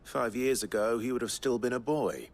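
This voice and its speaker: An actor in a cinematic video game playing a stoic, determined traveller, blunt, measured and level-headed. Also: male voice, medium pitch, close to an everyday voice. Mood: measured, wary.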